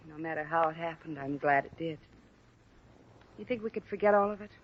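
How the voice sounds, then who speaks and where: A woman's voice: low voice, fairly quick, a little hiss or hum, lightly acted. A woman acting a proud, cornered young former chorus girl in a 1950s radio drama, earnest and plaintive with an American accent. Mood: wistful. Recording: dull restored old radio recording.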